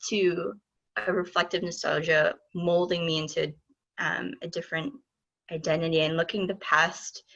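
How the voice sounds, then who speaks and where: A woman's voice: lowish voice, vocal fry, a bit bright. A woman speaking thoughtfully and a little hesitantly as an artist on a discussion panel. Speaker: artist and exhibit lead on a panel. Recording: dull, muffled audio.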